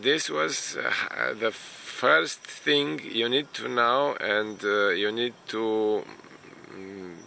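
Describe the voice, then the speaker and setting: A Romanian-born man talks slowly and haltingly to camera, often pausing on fillers. His low voice is gravelly but bright, with faint noise behind it. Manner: unhurried, hesitant, many fillers. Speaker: immigration consultant vlogger. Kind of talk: casual talk to camera.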